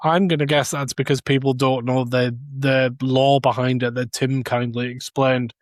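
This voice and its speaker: A man's voice: medium pitch, level tone, normal speed, no echo, studio quality. A man chatting casually as a co-host on a review podcast. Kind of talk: casual chat.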